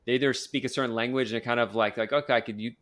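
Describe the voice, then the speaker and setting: A man hosting a podcast, racing through his words at a brisk clip. He rambles a little, doubling back with fillers and restarts as he chats. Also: mid-range voice, fairly even intonation, fairly bright tone. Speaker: podcast host. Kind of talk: casual interview and promo.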